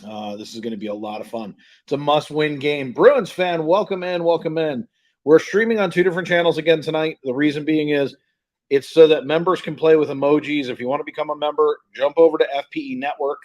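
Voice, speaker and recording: A man's voice: fairly high pitch, fairly even intonation, a little fast, lo-fi sound. A man hosting a live hockey stream, greeting viewers and chatting informally in a scattered, casual way. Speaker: live stream host calling hockey play by play.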